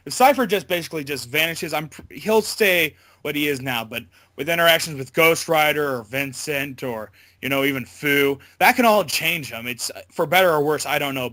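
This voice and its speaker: A man giving a casual interview as a voice actor, talking in a halting, loosely worded way. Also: fairly high pitch, bright, forceful tone, dry recording.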